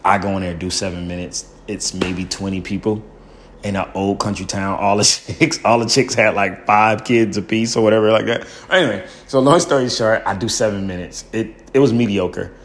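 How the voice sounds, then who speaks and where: A young man with a loud, somewhat low voice recounts his early days as a stand-up comedian. He tells it with animated energy and slips into the voices of the people he quotes. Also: moderate pace. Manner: acts out quoted people in bursts. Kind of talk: animated storytelling interview.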